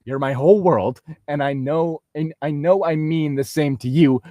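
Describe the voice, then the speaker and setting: A man's voice: fairly high pitch, normal speed, warm tone, no room sound, studio quality, close to an everyday voice. Man playing an overdramatic, threatening fantasy heroine. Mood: melodramatic pleading. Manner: melodramatic, mocking.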